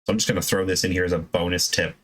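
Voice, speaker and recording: Low-voiced man chatting casually about his hobby. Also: flat delivery, very fast, a bit bright. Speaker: hobby video host. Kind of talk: casual chat, unscripted hobby advice.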